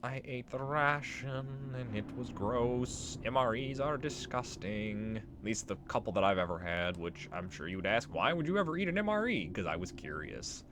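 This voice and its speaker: A man's voice: mid-range voice, lively intonation, normal speed, hardly any pauses, background noise. A man giving casual let's play commentary on a video game, wry and freely swearing. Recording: voice over game music. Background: game music.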